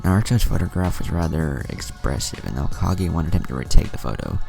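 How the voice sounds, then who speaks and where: A man narrates a scripted story in an extremely deep register. His voice is thick with gravelly creak, and his intonation moves around in a lively way as he goes between narration and dialogue. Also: brisk, soft background music. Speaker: fan fiction narrator.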